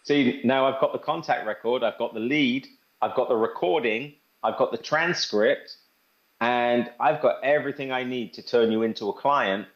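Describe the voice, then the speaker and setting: A man's voice: mid-range voice, moderate pace. Dull-sounding man giving an informal demo. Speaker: presenter demonstrating a phone assistant. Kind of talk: informal product demo talk. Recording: dull lo-fi audio.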